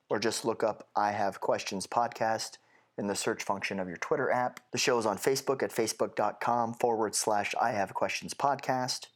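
A nasal-sounding man getting over a head cold, hosting his solo podcast with rambling, wry asides. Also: fairly low pitch. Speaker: podcast host.

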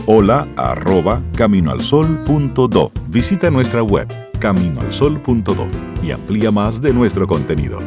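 A man's voice: fairly low pitch, very animated, moderate pace, music in the background. A man hosting a conversational radio show, courteous and emphatic in the way he talks.

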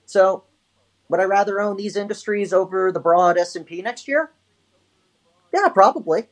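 A man's voice: high-pitched, normal speed. A man co-hosting a podcast, chatting about markets with frequent hesitations. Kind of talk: casual market commentary.

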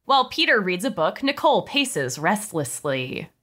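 A woman's voice: mid-range voice, very animated, moderate pace, pushed and bright. A woman reading stage directions as narrator of a comedy table read.

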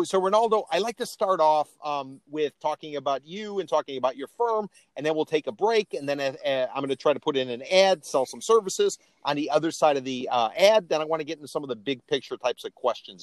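A man's voice: on the high side, expressive, fairly quick, few pauses, dry recording. A man hosting an interview podcast in a casual, chatty, informal way with emphatic delivery. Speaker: podcast host.

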